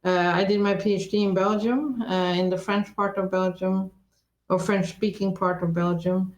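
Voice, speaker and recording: A woman's voice: mid-range voice, steady tone, moderate pace, roomy sound, dull audio. A woman whose first language is Norwegian, raised in Sweden, speaking thoughtfully as an interview guest with frequent restarts and self-corrections. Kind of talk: reflective conversational interview.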